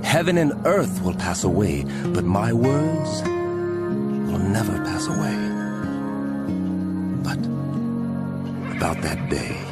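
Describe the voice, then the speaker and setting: An actor playing a solemn teacher warning his followers, speaking with grave, emphatic and deliberate phrasing in a dramatic scripture reading. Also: fairly low pitch, very animated. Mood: grave, prophetic. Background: music.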